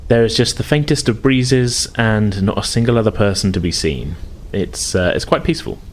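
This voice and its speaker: A man with a low voice acts as game master, describing scenes off the cuff. His phrasing is hesitant and conversational, and faint noise sits behind the recording. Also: lively intonation, normal speed. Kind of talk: improvised tabletop game narration.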